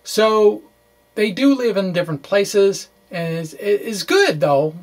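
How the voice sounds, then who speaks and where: Halting, folksy man giving an informal lecture. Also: high-pitched, sing-song. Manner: halting, with repeated words and false starts; folksy, playful phrasing. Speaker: physics presenter. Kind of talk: informal lecture walkthrough of equations.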